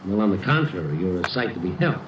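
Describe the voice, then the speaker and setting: A man's voice: low voice, sing-song, quick pace, roomy sound, far from the mic, highs cut off. Easygoing radio-drama man, noisy old recording. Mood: soothing, teasing. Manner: easygoing, flirtatious, quipping. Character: wisecracking, gallant man comforting a woman in trouble.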